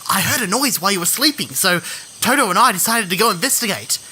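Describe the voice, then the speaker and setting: A woman's voice: medium pitch, lively intonation, fast, pushed and bright, slight background noise, rough recording. A woman playing a naive, eager and curious talking scarecrow in an audio drama, with an innocent, earnest manner.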